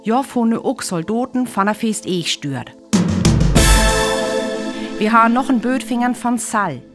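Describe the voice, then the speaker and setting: A woman playing a serious radio news reporter in a radio play, with a North Frisian accent and measured delivery. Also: on the high side, expressive, loud music behind the voice. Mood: serious, urgent. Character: female radio news reporter covering an invasion.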